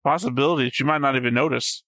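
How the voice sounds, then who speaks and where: A man's voice: medium pitch, racing, pushed and bright, no room sound, clean studio sound. A man hosting a reaction show, commenting casually on what he watches.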